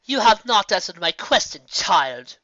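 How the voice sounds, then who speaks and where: Narrator doing regal enraged alicorn princess, high lively voice, dry dull audio. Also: unhurried, dry recording. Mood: stern. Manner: commanding, archaic, shouting. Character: grieving, furious alicorn princess, imperious.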